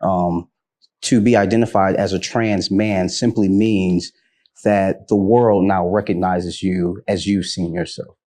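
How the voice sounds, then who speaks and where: A man from Detroit, a panel guest, speaking in a measured, thoughtful way. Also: sits low, steady tone, mellow. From Detroit, Michigan. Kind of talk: casual discussion.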